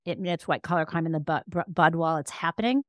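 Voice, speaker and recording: A woman's voice: sits low, fast talker, fairly bright tone, dry recording, studio quality. A woman speaking as an expert podcast guest, explaining conversationally and emphatically, often rephrasing as she goes. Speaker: interview guest, law professor and author.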